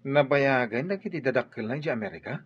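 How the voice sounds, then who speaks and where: Husky, animated teasing male buddy. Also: mid-range voice, fairly quick. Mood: inquisitive. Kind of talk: radio drama acting. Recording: dull radio sound, faint music.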